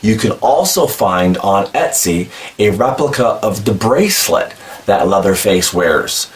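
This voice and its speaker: A man's voice: fairly low pitch, expressive, measured pace, a little hiss or hum. A man in his forties talking casually and enthusiastically about horror cosplay props in a cluttered room, filmed from a distance. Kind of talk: casual show-and-tell vlog. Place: indoor room packed with horror collectibles.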